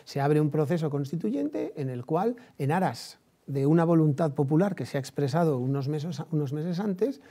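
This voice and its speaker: A man with an accent from Spain speaks in a fairly high, mellow voice. He talks as a commentator on history, feeling his way through long thoughts. He often repeats a phrase and tags his points with short questions. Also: animated, slightly rough. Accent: Spanish from Spain. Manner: discursive, halting, with repeated phrases and tag questions. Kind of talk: conversational historical and political commentary.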